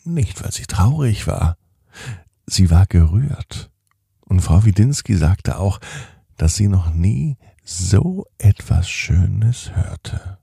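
A middle-aged man hosting a children's podcast, telling a bedtime story in a slow, soothing, gently animated way. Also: sits low, vocal fry, husky, dry recording, studio quality.

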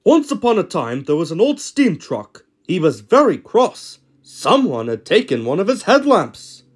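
A man voices a cartoon steam lorry as a spooky storyteller. The delivery is slow and measured, with expressive rises and falls. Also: high-pitched, husky, exaggerated voice. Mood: ominous. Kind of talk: cartoon character voice reel.

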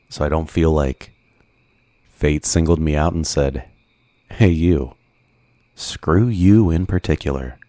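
Guy doing lovesick obsessive supervillain for asmr roleplay, super low voice, slow and theatrical, real close dry mic. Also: a little mellow, no echo. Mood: bitter, self-pitying. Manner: theatrical, slow, brooding, with dramatic pauses. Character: obsessive, self-pitying, lovesick male super villain. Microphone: close studio mic, dry.

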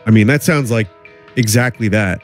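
Low-voiced man streaming a game. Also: normal speed, faint music behind. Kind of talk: live game commentary, reading game text aloud.

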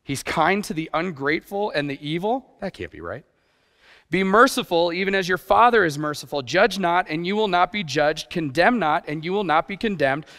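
Middle aged guy preaching, kinda high voice, chatty and self-deprecating, big echoey church. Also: expressive, normal speed, fairly bright tone. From grew up partly in Japan. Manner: self-deprecating, conversational, rhetorical questions. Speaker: guest preacher, youth pastor. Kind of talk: informal sermon. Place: church stage, indoors. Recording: reverberant hall sound.

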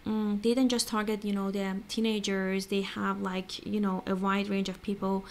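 A woman's voice: mid-range voice, flat delivery, normal speed, darkish tone. A woman giving a casual, hesitant and rambling screen-share walkthrough as an online vlogger. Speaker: crypto vlogger. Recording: clear background noise.

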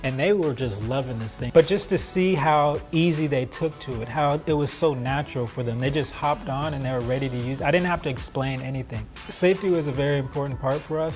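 A man's voice: medium pitch, brisk, hardly any pauses, darkish tone. A man in his thirties talking casually and enthusiastically as an inventor presenting his product in a promotional interview. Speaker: product inventor, mechanical engineer. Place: large open office, indoors. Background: background music.

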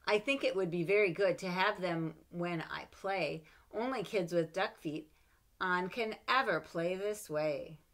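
Middle-aged woman, lowish voice, lively storybook reader with playful asides, home webcam. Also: a little slow. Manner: expressive, animated read-aloud with playful asides. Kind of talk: reading a children's picture book aloud. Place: home room with bookshelves. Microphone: webcam at desk.

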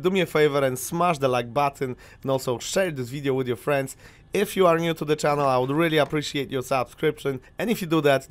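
Chatty polish man gaming on headset. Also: on the high side. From Poland. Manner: chatty, rambling, lots of fillers. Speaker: gaming channel host. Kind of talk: casual game commentary.

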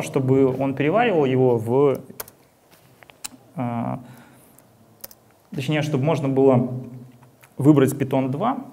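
Young male meetup speaker, gravelly, rambling and casual, on a handheld mic. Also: medium pitch, warm tone. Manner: rambling, many fillers and restarts. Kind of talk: casual tech talk with live demo. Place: meetup hall.

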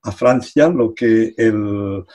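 A man's voice: fairly low pitch, drawn-out words, warm tone. An older man from Catalonia speaking Spanish with a Catalan accent on a video call from his home study, talking casually and hesitantly, often repeating words and laughing between phrases. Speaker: guest, psychology professor. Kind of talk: casual conversational interview. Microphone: video call webcam.

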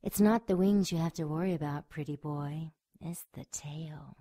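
A woman's voice: low voice, moderate pace, mellow. A woman playing a seductive, predatory winged succubus in an audio drama, with a sultry, taunting manner.

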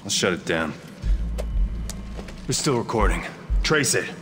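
Deep-voiced, gruff covert operative. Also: male voice, expressive, a little slow, over music. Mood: terse. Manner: terse, hard, no-nonsense. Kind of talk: dramatic video game acting.

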